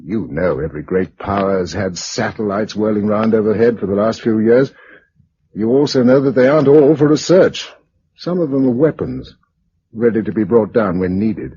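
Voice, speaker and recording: Calm English actor as a survivor, dull old recording. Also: male voice, lowish voice, slight background noise, natural voice. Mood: matter-of-fact. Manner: measured, matter-of-fact. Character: calm, practical man surviving a catastrophe, protective of his companion. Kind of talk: vintage BBC radio drama acting.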